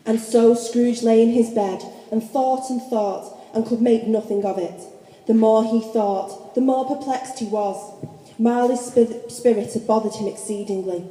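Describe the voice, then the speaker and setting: A woman reads storybook narration for a radio drama. Her tone is very dark and soft-edged, pitched a little high. The recording carries the long reverb of a hall. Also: steady tone, a little slow.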